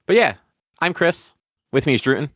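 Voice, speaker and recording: A man's voice: fairly high pitch, lively intonation, normal speed, fairly bright tone, no echo, clean studio sound. A man hosting a podcast in casual chat, teasing and opinionated. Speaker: podcast host.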